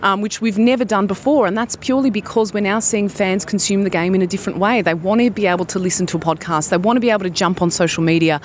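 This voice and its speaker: A woman's voice: medium pitch, fast talker, few pauses, slight background noise. A woman football broadcaster answering interview questions fluently and earnestly.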